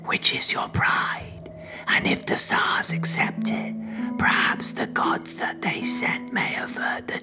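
A performer voicing a solemn, ominous prophet to a king in an audio play, in a breathy, incantatory whisper. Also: close to an everyday voice. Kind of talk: dramatic audio play acting.

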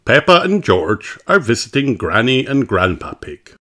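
A man reading a children's storybook aloud in an expressive narration. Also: medium pitch, a little slow. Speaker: storybook reader.

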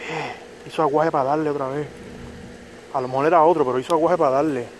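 A young man, filming himself fishing by a pond and commenting casually, muttering to himself with sudden excited bursts. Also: a little high, fast, rough recording. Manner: excitable bursts when a fish strikes, otherwise quiet muttering. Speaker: angler filming his fishing. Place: outdoors by a small pond among trees. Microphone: head or chest-mounted action camera. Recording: outdoor camera audio with faint background noise.

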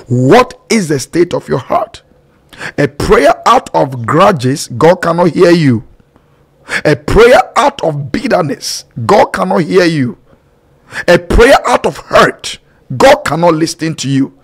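A man's voice: on the high side, husky. A middle-aged man preaching and leading prayer fervently, declaiming in short, repeated phrases. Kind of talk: sermon and led prayer. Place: small dark studio, indoors. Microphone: close boom studio microphone with headphones.

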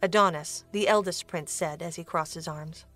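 A woman's voice: on the low side, normal speed, fairly bright tone, faint music behind. A woman reading scripted storybook narration for an audio drama.

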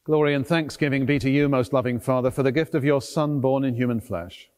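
The long reverb of a big stone church surrounds a middle-aged man of medium pitch. He is a priest leading solemn liturgy, declaiming each short phrase with deliberate care. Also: darkish tone. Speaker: priest, celebrant at a church service. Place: large stone cathedral, at the altar.

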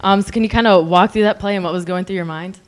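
A woman's voice: medium pitch, fairly even intonation, fast talker. An American woman interviewing players and a coach at a post-game press conference, asking polite questions with a few ums. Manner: polite, with um fillers.

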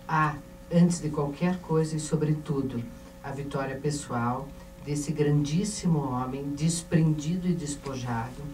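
A middle-aged Brazilian woman giving a formal tribute from a panel in a measured, ceremonious way. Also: low-pitched, dark tone. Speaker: panel member. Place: indoor auditorium panel table. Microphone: handheld mic, recorded by a distant camera. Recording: echoey, noisy hall from far off.

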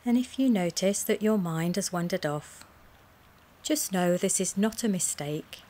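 A woman wellness coach leads a guided mindfulness body scan in a gentle, soothing, measured way, speaking in short phrases with pauses. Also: lowish voice, lively intonation. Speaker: wellness coach guiding a relaxation session.

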